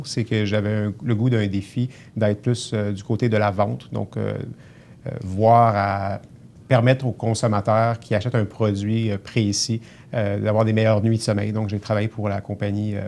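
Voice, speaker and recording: A middle-aged man from Abitibi speaks with a Québécois accent in a slightly low voice. He talks earnestly but haltingly, filling his pauses with hesitations as he explains his work. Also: flat delivery, a little mellow, slight background noise. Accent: Québécois French. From Abitibi, Quebec. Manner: earnest, many hesitations and "euh" fillers. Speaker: grocery store general manager, guest. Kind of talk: casual TV interview.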